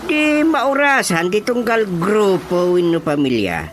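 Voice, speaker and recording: A man's voice: high-pitched, very animated, a little slow. A man playing a blunt village chairman in an Ilocano radio comedy drama, with an Ilocano accent. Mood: explaining. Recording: music bed behind the voice.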